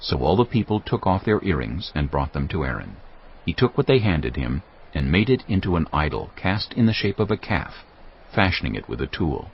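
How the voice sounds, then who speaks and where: A man narrating scripture in a steady, formal storytelling manner. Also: a bass voice, moderate pace, a little hiss or hum. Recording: dull lo-fi audio with loud background score.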